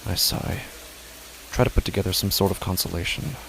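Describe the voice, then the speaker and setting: A man narrating a first-person horror story in a measured, tense way. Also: deep voice, gravelly, background noise.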